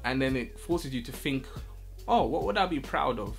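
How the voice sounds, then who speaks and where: A man's voice: mid-range voice, animated, normal speed, creaky, faint music behind. A man talking casually to camera as a vlogger, in a loose, chatty way, often checking in with his listener.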